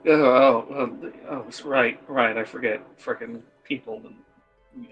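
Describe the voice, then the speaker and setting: A man's voice: medium pitch, slightly rough, lo-fi sound. A man joking around and laughing as a player on a streamed tabletop game, with faint music behind. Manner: jokey, goofy, quick to laugh.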